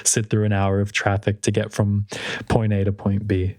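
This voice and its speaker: A young man co-hosts a casual podcast, talking in a steady, measured way. His voice sits a bit low. The sound is dry with no room echo. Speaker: podcast co-host. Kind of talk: casual chat.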